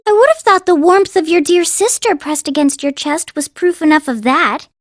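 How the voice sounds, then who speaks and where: A woman's voice: very high voice, normal speed, no room sound, clean studio sound. A woman playing a playful young princess in an anime-style game, coy and refined as she teases. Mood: playful teasing.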